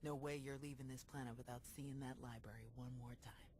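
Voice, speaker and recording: A woman's voice: very deep voice, steady tone, a little fast, background noise. A woman playing a jaded, sardonic, battle-hardened Jedi warrior in a video game, with a dry, blunt delivery. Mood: sardonic, irritated. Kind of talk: video game cutscene acting.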